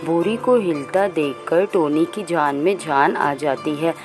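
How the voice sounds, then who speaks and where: A woman tells a children's tale in scripted narration. Her voice is on the low side and her pace is slow and measured, with music playing faintly behind. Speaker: storyteller.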